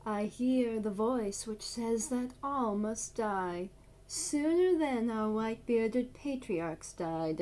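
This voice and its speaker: Woman acting a slow, solemn doomed tragic heroine. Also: fairly high pitch, a little mellow, rough recording. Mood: grave foreboding. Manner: declamatory, measured, grave. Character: doomed young woman, solemn, proud, loving, defiant of death. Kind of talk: classical verse monologue, theatrical.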